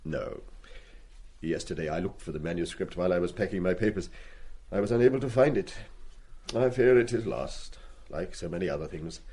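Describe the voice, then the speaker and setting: Husky, pedantic schoolmaster. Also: male voice, low voice, brisk, mellow. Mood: resigned. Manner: precise, pedantic, formal diction. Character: reserved, formal, ageing classics schoolmaster, dry and wounded. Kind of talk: radio play acting.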